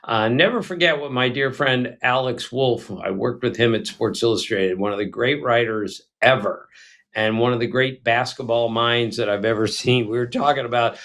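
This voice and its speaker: An older man co-hosting a casual chat show, telling stories with animation and slipping into quick impressions. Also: mid-range voice. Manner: animated storyteller, breaks into impressions. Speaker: podcast co-host.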